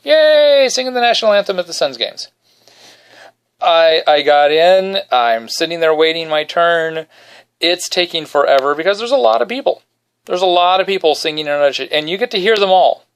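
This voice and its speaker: A man tells a funny, self-deprecating story to his podcast listeners, singing short bits under his breath. He is recording at home in his bedroom. His voice is smooth and clear and sits a little higher than most men's. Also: normal speed. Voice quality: baritone. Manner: self-deprecating, animated storyteller who sings snippets. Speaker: podcast host. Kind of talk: casual solo podcast storytelling.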